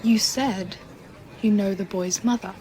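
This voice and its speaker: An actress playing a scientist's matter-of-fact assistant in an audio drama, speaking plainly and to the point. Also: female voice, mid-range voice, fairly even intonation, measured pace, mellow, slight background noise, natural voice, low-quality recording.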